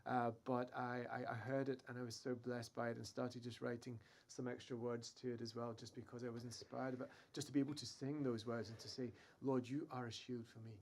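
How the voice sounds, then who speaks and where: A man with a very gravelly voice chats with enthusiasm as a co-host. He often restarts his sentences while speaking at a middle pitch. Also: level tone, fairly quick, few pauses, a little mellow, slight background noise. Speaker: co-host musician. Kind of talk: casual chat.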